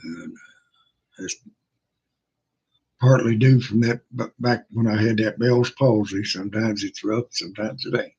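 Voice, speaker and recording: An older man hosting a livestream, chatting casually in a hoarse, rough voice, halting and folksy with many pauses and fillers. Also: lowish voice, darkish tone. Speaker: livestream host. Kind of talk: casual rambling chat. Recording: dull lo-fi sound.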